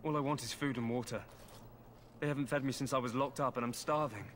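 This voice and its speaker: A man's voice: medium pitch, fairly even intonation, fast, fairly bright tone, a little hiss or hum, lightly acted. An actor playing a starving, sly prisoner in a fantasy game, wry and wheedling as he bargains. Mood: weary pleading.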